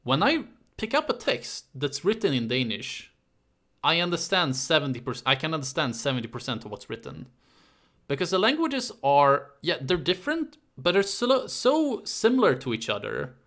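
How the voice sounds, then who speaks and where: A man chats casually on a live stream, rambling and halting as he answers viewers. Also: on the high side, wildly swooping pitch, fairly bright tone. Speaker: YouTube history creator hosting a live stream.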